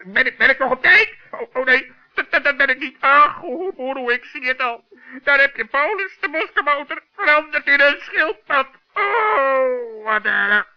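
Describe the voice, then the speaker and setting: A man's voice: very high-pitched, lively intonation, normal speed, voice pushed up. A man voicing an agitated, guilt-ridden talking forest bird who repeats himself in a children's radio play. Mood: distraught. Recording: dull old 1960s radio recording.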